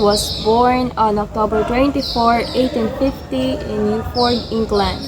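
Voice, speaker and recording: High-voiced young woman reciting a biography. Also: rough recording. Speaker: student presenter. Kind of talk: scripted first-person biographical presentation, read out.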